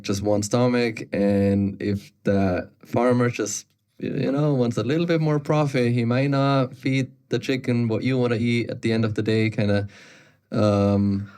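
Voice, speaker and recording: Low-voiced Austrian-raised podcast host. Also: male voice, darkish tone. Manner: rambling, restarts often, many "like"s. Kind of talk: casual interview chat.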